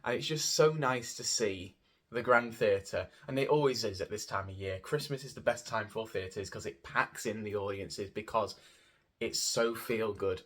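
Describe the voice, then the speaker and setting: A man's voice: on the low side, a bit bright. A man giving a casual, gushing and rambling review of a theatre show as a vlogger, often repeating words for emphasis. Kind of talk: casual spoken review to camera.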